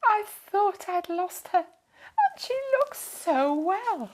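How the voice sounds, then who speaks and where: Storybook older lady, voiced by a woman: well-to-do, flustered and polite, high voice, very animated. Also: takes it slowly, slight background noise, heavily acted, low-quality recording. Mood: relieved, delighted. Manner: gushing, apologetic, polite.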